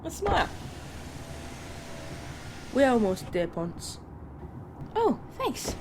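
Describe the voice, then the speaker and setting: A woman's voice: high-pitched, wildly swooping pitch, drawn-out words, background noise, heavily acted. A woman playing a sassy, protective escort to a new vampire in an audio roleplay, teasing and caring in manner. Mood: gentle, reassuring. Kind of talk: narrative audio roleplay acting.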